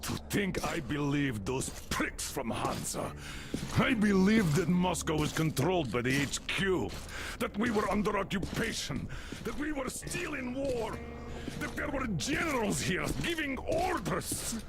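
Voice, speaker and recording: An actor playing a gruff, embittered middle-aged military commander in a video game. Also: male voice, high voice, very animated, unhurried, full character voice. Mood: bitter, self-reproaching. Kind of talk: video game cutscene acting. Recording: roomy sound under loud music.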